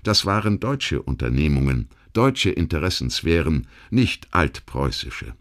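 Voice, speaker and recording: A man narrating a history documentary audiobook, reading a literary text in a measured, articulate way with rhetorical emphasis. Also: extremely low pitch, sing-song. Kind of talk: scripted documentary history narration, read aloud.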